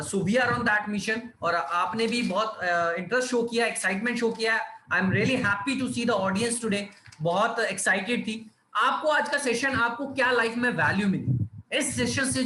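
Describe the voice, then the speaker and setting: A man delivers a persuasive sales pitch, his voice pitched high and bright. He repeats key phrases and fills pauses with "uh". The room sounds echoey, with a faint hum of ambience behind him. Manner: persuasive, repetitive, many fillers, reads out names. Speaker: presenter of an online business opportunity session. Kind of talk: live online sales presentation in Hindi-English mix.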